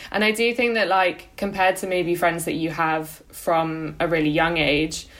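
A woman's voice: lowish voice, steady tone, a little slow, a bit bright. A woman co-hosting a podcast, chatting in an informal, conversational way. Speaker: podcast co-host. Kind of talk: casual chat.

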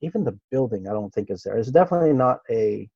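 A middle-aged man from Okinawa, whose first language was Japanese, reminisces as an interview guest. He talks in an easygoing, halting way, full of fillers and small laughs. The sound is dry and a little dull. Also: mid-range voice, expressive, vocal fry, husky, dry recording. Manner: easygoing, hesitant, with frequent fillers and soft laughs. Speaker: interview guest, martial artist. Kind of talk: relaxed reminiscing interview talk.

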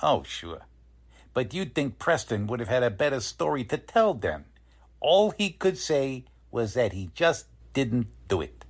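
A woman's voice: a bass voice, big pitch swings, measured pace, breathy edge, heavily acted. A woman playing a cynical, wisecracking, stubborn detective in a 1940s radio drama, with a slangy, sardonic, emphatic delivery. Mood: dismissive, sardonic.

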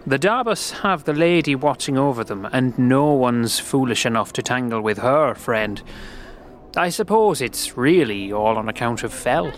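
A man voicing a friendly, gentle, earnest slum dweller in a fantasy audio drama, with a folksy, hesitant way of talking. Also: medium pitch, lively intonation, a little slow, slight background noise, natural voice. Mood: helpful.

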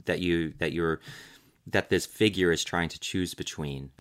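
A man's voice: low-pitched, fairly even intonation, a little slow, a bit bright, smooth. A man of about thirty talking conversationally as a tarot reader, explaining reflectively and checking in with his listener. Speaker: tarot reader guiding a reading.

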